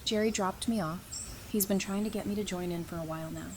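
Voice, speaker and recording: Drama role: wry, informal woman played by an actress, medium register, quick wit, faint background noise. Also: medium pitch, a little mellow, close to an everyday voice. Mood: friendly, hesitant. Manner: casual, wry, conversational. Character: wry, casual, quick-witted woman, psychology teacher. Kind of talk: fan audio drama dialogue.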